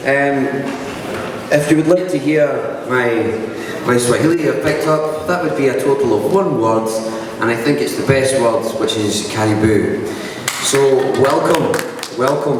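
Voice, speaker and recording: A man with a Scottish accent presents to a crowd at a launch event, wry and self-mocking as he talks. He sounds distant from the microphone, and his voice echoes around a large hall with a long tail of reverb. Steady background noise sits under the speech. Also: mid-range voice, unhurried. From Scotland. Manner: dry self-deprecating humour.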